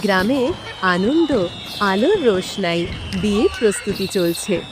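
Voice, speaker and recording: Expressive woman story narrator. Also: medium pitch, measured pace, noisy background.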